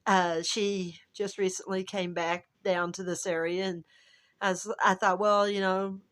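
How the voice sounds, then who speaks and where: A woman with a hoarse, gravelly voice that is still recovering, chatting casually and rambling to camera at home as a travel vlogger. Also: medium pitch, little pitch movement, unhurried, fairly bright tone. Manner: rambling, chatty, self-interrupting. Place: at home, indoors.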